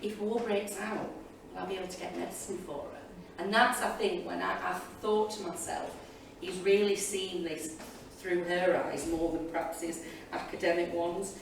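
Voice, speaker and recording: Lower-voiced chatty woman in an echoey hall. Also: steady tone, moderate pace, creaky, hardly any pauses. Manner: chatty, enthusiastic, digressive. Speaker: history teacher, panelist. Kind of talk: informal spoken reflections to an audience. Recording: echoey hall, distant mic, loud room noise.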